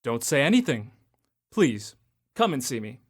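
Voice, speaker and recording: A man playing an old miser turned joyful and generous in an amateur radio drama, booming and theatrical. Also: sing-song, measured pace. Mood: jovial.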